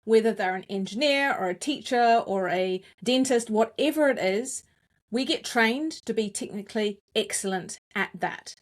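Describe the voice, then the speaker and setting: Woman with a New Zealand accent, guest coach, measured and crisp articulation. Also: on the high side. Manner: deliberate, clearly articulated cadence. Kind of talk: interview answers.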